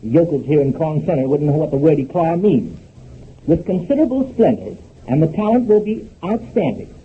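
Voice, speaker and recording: An actor playing a pompous, easily exasperated young American announcer, in 1930s radio comedy style. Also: male voice, on the high side, big pitch swings, moderate pace. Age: young adult. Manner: pompous, exasperated, formal diction. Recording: tinny, noisy 1930s radio recording.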